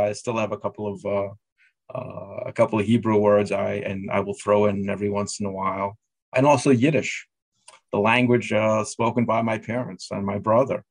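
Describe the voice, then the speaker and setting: Middle-aged new guy, low steady voice, lots of uhs and ums, dry close sound. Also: no room sound. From Russia. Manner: hesitant, many fillers and restarts. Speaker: podcast guest. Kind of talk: conversational interview.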